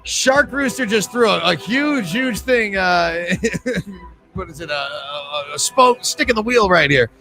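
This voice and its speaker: A man's voice: sits high, expressive, unhurried, sharp tone, soft background music. A man hosting a live trivia game, joking with players and rambling through sponsor reads.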